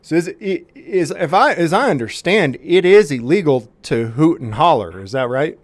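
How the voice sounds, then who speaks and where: A man's voice: fairly high pitch, expressive, moderate pace, no echo. A man making a prank phone call to a police department, mock-serious and faux-sincere, often restarting his sentences.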